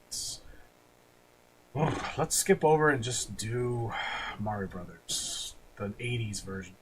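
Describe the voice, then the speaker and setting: A man's voice: mid-range voice, expressive, takes it slowly, rough recording. Male podcast host over noticeable background noise. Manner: informal, swears casually. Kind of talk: casual opinionated film-ranking chat. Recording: clearly audible background noise.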